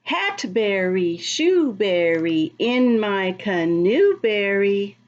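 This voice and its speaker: A woman reading a picture book aloud to children in a teacherly, expressive, sing-song way, explaining and asking questions as she goes. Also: mid-range voice, drawn-out words, muffled recording. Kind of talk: read-aloud storytime with asides to children.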